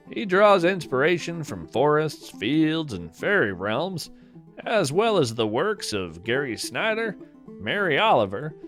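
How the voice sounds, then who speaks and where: Slow, expressive man hosting a storytelling podcast. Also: fairly high pitch. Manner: deliberate, dramatic pauses. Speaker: podcast host. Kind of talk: scripted storytelling narration.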